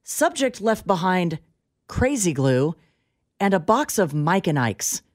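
A woman's voice: lowish voice, big pitch swings, slow pace. An American woman co-hosting a radio show with casual comic banter and a teasing, mock-official delivery.

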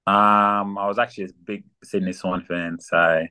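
A young man from South Sudan, a guest athlete chatting casually in an interview, relaxed and full of ums. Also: on the low side, normal speed, clear, resonant tone. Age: young adult. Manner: relaxed, many ums and fillers.

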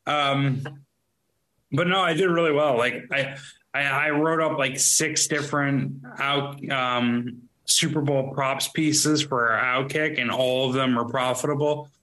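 A man's voice: mid-range voice, fairly even intonation, unhurried, sharp tone, low-quality recording. A man on a casual podcast, rambling about his sports bets with lots of fillers. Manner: rambling, filler-heavy, laid-back. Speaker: podcast co-host, sports bettor.

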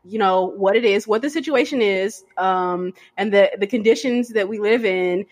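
A woman hosting a casual podcast conversation, reflective and halting, with many fillers. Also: mid-range voice. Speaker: podcast host.

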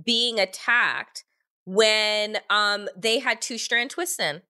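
A woman hosting a conversational interview, animated and talking in quick restarts and asides. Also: mid-range voice. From Jamaican family. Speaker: interview host.

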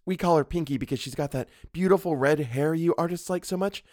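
A man's voice: a little high, a little fast, darkish tone, no echo, clean studio sound, pitched above the performer's own voice, close to an everyday voice. A man voicing a merry young woman, an artist's model in a story, chattering in a breezy, gossipy way. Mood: merry, chatty.

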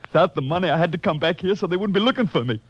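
A man's voice: sits high, expressive, racing, no room sound. A man playing a cornered, unstable killer in a 1950s radio crime drama, with an agitated, melodramatic delivery. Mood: broken, desperate. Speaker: actor playing the villain. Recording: dull, dry old radio recording.

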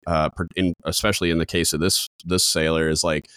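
A man co-hosting a podcast in casual discussion, with a deep booming voice, talking loud and coming on strong, with many restarts and fillers. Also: flat delivery, fairly quick, clean tone, no room sound. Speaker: podcast co-host.

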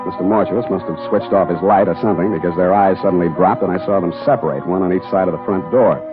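A thin, tinny 1940s radio recording with music carries a man acting a hard-boiled detective. His voice is on the low side. He narrates and talks tersely, with deadpan, sardonic wit. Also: fast talker, lightly acted. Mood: wry narration. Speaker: lead actor and narrator of a radio detective drama.

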